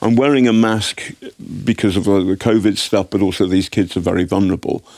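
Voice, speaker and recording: A man's voice: on the low side, no echo. Wry English man telling war stories. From Britain. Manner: wry, animated storyteller with halting restarts. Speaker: guest journalist. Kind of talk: anecdotal storytelling in interview. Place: TV studio.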